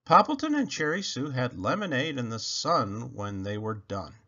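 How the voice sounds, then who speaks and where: Male storybook reader, hugely varied pitch, bright tone with some huskiness, children's narration. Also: sing-song, moderate pace, muffled recording. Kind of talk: animated children's read-aloud narration.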